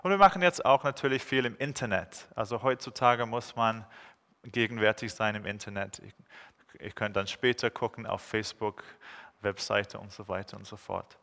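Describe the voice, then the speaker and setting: A man in his thirties with an English accent delivers a sermon in a friendly, joking way, pausing often to hesitate. His voice is clear and forceful. It rings out with a long reverb, as in a large hall. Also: lowish voice. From England. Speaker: guest preacher.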